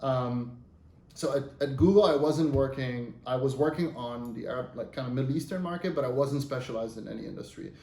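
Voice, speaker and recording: A man in his thirties from Lebanon, a native Arabic speaker, talks through his career as an interview guest. His voice sits at an ordinary middle pitch for a man. He speaks loosely and conversationally, with frequent fillers and restarts, and the room adds a noticeable echo. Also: fairly quick, creaky, dark tone. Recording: roomy echo.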